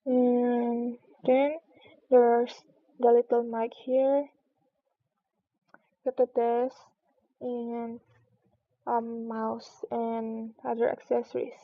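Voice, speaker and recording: A woman's voice: a little high, monotone, drawn-out words, pauses often, no echo. A woman streamer talking casually and reading a storybook aloud, in a slightly muffled recording.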